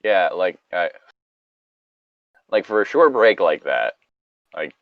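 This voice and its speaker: A man's voice: deep voice, sing-song, takes it slowly, no room sound, highs cut off. A man reading a story aloud and breaking off into casual, joking, rambling, profane commentary. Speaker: reader and commentator of online stories.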